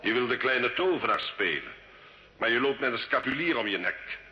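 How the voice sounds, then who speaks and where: A male actor playing a cunning, scornful sorcerer and alchemist, solemn, measured and theatrical, in an old radio drama. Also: mid-range voice, animated, close to an everyday voice. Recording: dull, echoey, hissy old radio recording.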